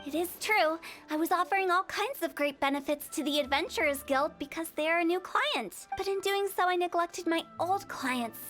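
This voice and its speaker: A woman's voice: very high voice, a bit bright. A woman voicing a mischievous young woman who runs a funeral parlor, playful and teasing. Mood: scheming. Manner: playful, teasing, breezy salesmanship. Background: game music.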